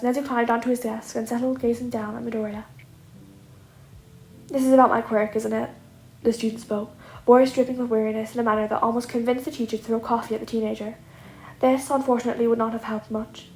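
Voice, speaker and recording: High-voiced woman narrating, echoey room. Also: a little mellow. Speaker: narrator, podfic reader. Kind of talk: scripted comic story narration. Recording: echoey room, audible noise.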